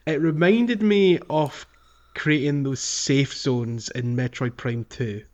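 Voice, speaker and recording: Male co-host with an average-pitched voice, conversational, piecing thoughts together aloud in a loose gaming chat. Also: slow pace, a little mellow. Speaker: podcast co-host. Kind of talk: casual gaming discussion.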